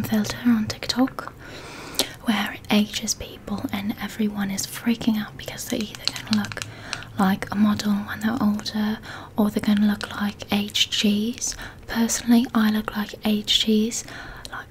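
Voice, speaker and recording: A young woman whispering softly and reassuringly into a close microphone in an ASMR roleplay. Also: mid-range voice, rough recording. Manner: soft whispering, gentle and reassuring. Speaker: ASMR creator.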